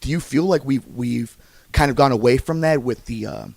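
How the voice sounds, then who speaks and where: A man's voice: medium pitch, normal speed, creaky, a little mellow, dry recording. A man hosting a sports podcast, reading promos and interviewing guests about fight sports.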